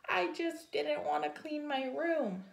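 A woman's voice: high voice, slow pace, gravelly, reverberant, voice pushed up, heavily acted. A woman voicing a small young male canary with a sheepish, piping little voice in a children's story.

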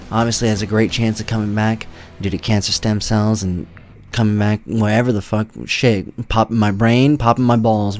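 A man's voice: fairly low pitch, darkish tone. A young man in his twenties talking casually to camera from a home streaming setup, his voice a little worn and his talk loose and rambling with frequent swearing. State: voice weakened from treatment. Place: home streaming room, indoors.

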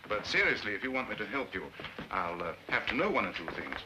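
An actor playing a dry, wry investigator in a 1940s film. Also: male voice, lowish voice, sing-song, fast, background noise. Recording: old film soundtrack with music behind.

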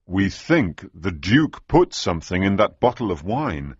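A man voicing an earnest, conspiratorial gentleman's aide in an audiobook, husky and confiding. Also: sits low, very animated, a little slow, dry recording, lo-fi sound, full character voice. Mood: worried. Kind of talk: audiobook character dialogue.

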